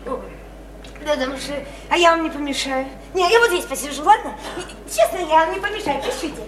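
A woman's voice: sits high, animated, fairly quick. An actress in a Russian radio play playing a naive, chatty, insistent provincial woman, eager and coaxing, with colloquial, repetitive talk. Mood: coaxing, admiring. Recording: roomy, slightly noisy radio play recording.

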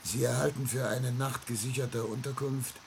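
An actor playing a distraught, outcast older gentleman in a German radio play, theatrical and bitter, swinging between hushed tones and outbursts. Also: medium pitch, steady tone, moderate pace, creaky, lightly acted. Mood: hushed, weary. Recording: faint noise on old radio recording.

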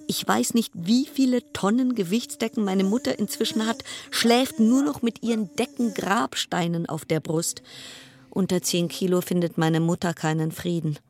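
A woman's voice: mid-range voice, a little mellow, natural voice. A woman acting in a radio play as an anxious, sardonic quarantined passenger, delivering a brooding, dramatic interior monologue. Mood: sardonic, weary.

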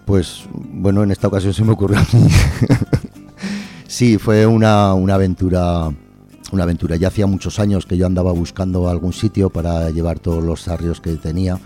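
A man's voice: low-pitched, little pitch movement, moderate pace, mellow, soft background music. A man talking casually as an interview guest, colloquial and wryly joking. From Aragon, Spain. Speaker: interview guest, artist and musician. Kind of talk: casual chat.

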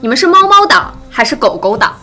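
A woman's voice: high voice, sing-song, a little fast, pushed and bright. A woman hosting a casual chat interview in a playful, teasing manner, echoing what her guests say. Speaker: interview host. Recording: background music throughout. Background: music.

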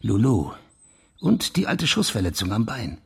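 An actor playing a police official in a German crime radio drama, insistently laying out his theory with a husky, gravelly voice. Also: fairly low pitch, very animated, measured pace, full character voice. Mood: grave, deducing.

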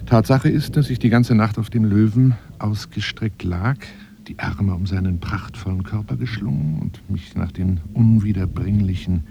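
An actor playing a self-dramatizing, obsessive big-game hunter monologuing on the telephone in a radio play, theatrical and often dropping into breathy whispers. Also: male voice, on the low side, moderate pace, a little hiss or hum. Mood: confiding, rapturous. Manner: theatrical, swinging from hushed murmurs to agitated outbursts.

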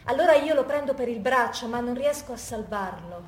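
A woman's voice: a little high, animated, a little fast, natural voice. An actress playing a haunted woman who recalls tragic years and recurring nightmares in a reflective theatrical monologue. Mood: anguished remembering. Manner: reflective first-person storytelling. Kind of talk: theatrical monologue from oral testimony. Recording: echoey room with background noise.

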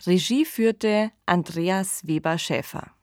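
A woman's voice: fairly low pitch, slow, heavy background noise. A woman hosting a podcast, talking in a conversational, discursive style. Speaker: podcast host.

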